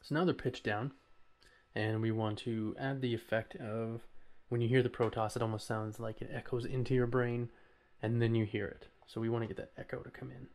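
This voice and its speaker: Man, mid-range pitch, casual tutorial host, informal, hesitant, step-by-step explanations. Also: flat delivery, a little fast, darkish tone. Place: voice-over recorded while working at a computer.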